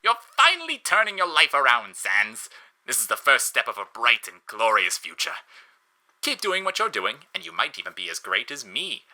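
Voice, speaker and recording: A man voicing a pompous, excitable skeleton brother from an audio story, earnest and grandiose with formal phrasing. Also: medium pitch, moderate pace, voice pushed up, full character voice, low-quality recording. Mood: overjoyed, boastful.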